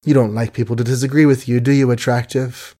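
A man voicing a dazed, weary young woman who speaks in a soft, drifting, oddly detached way. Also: medium pitch, level tone, fast talker, natural voice.

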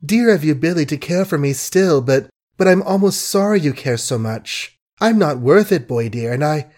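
A man voicing a fond, flustered young woman who speaks in halting, pleading bursts in an audiobook. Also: fairly high pitch, animated, a little mellow, voice pushed up, lightly acted. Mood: tender. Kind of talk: audiobook character voice.

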